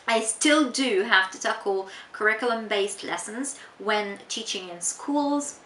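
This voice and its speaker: A young woman from Tunisia, not a native English speaker, working as an English teacher and answering interview questions in a measured, explanatory way with frequent ums. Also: mid-range voice, expressive, sharp tone. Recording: echoey room with faint noise.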